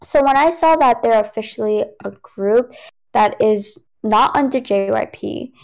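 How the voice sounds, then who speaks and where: A woman's voice: a little high, unhurried, vocal fry, darkish tone, poor audio. A young American woman, a Cantonese speaker, chatting casually and playfully with slang and frequent laughs. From United States. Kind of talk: casual chat.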